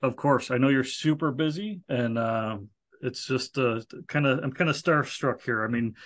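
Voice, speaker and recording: A man's voice: mid-range voice, normal speed, fairly bright tone. A middle-aged man, an Army veteran, hosting a casual video-call interview, friendly and joking, often restarting his sentences. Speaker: podcast host, Army veteran.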